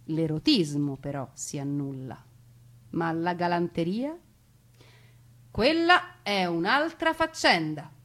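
A woman narrating a theatrical monologue in a dramatic, deliberate way, lingering over her lists. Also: fairly low pitch, a bit bright. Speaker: stage actress and narrator.

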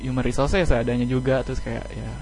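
A man's voice: mid-range voice, steady tone, fast, darkish tone, soft background music, poor audio. A man speaking as an interviewed band member, casual and colloquial, humble and thankful in manner. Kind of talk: casual radio interview chat.

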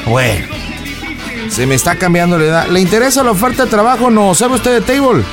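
A man's voice: sits high, expressive, fairly quick, over music. A Mexican man hosting a prank call show, improvising comic banter in a mocking, teasing, slangy way.

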